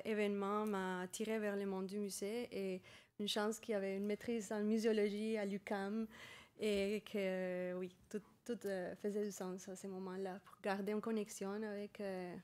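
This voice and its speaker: Woman, 30s, thoughtful, pausing speech, conference panel. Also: mid-range voice, darkish tone. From Colombia. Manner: thoughtful, pausing often to find words. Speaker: doctoral student panelist. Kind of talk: personal account on a panel. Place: conference hall stage.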